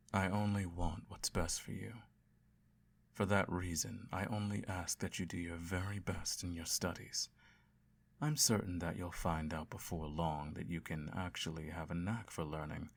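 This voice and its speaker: A man acts out an evil emperor in a bedtime audio roleplay. His voice sits very low, with a heavy gravelly creak. He tells his tale to a child with measured grandeur, self-righteous and doting, yet quietly menacing. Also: exaggerated voice. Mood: tenderly possessive.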